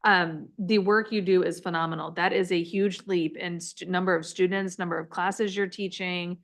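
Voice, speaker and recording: A woman's voice: fairly low pitch, fairly even intonation, normal speed. A woman school board member at a meeting, speaking earnestly and appreciatively, with some restarts.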